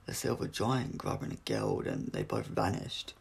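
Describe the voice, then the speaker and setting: Male audiobook reader, lowish pitch, very creaky, scripted narration, occasional stumbles. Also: huge pitch swings, normal speed.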